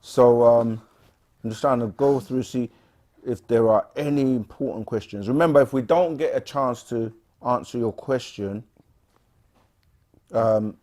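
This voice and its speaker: A man co-hosting a casual livestream, reading viewer questions aloud and answering them with frequent pauses and fillers. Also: mid-range voice. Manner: relaxed, frequent "um" and restarts, reads questions aloud.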